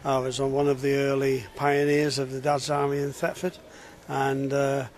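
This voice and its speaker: A man's voice: mid-range voice, completely flat delivery, moderate pace. A man from England introducing himself as a re-enactor in a casual interview, plain and matter-of-fact.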